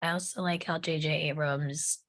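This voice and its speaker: A woman's voice: deep voice, fairly even intonation, normal speed, fairly bright tone, no echo. A woman at a table read giving an articulate, analytical take on a script. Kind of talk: casual discussion of a script.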